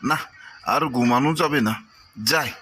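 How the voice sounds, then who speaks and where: Man voicing a medium-pitched, easygoing cartoon hare. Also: moderate pace, close to an everyday voice. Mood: drowsy, unworried. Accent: Bangladeshi. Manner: repetitive, colloquial phrasing. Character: playful, overconfident hare, friendly and lazy. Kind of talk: amateur cartoon story voice acting. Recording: faint background noise.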